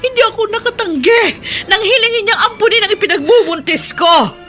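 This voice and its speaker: Anguished, high-voiced mother. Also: fairly quick, bright, forceful tone, faint music behind. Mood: anguished confession. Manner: emphatic, pleading, melodramatic. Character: anguished mother confessing a long-hidden family secret. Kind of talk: radio drama acting.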